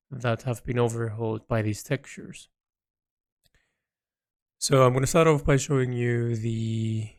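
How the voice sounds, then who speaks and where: A man giving informal audio log commentary on his own artwork, speaking in a halting, reflective way with pauses mid-phrase. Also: medium pitch, a little mellow. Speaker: artist narrating own project log.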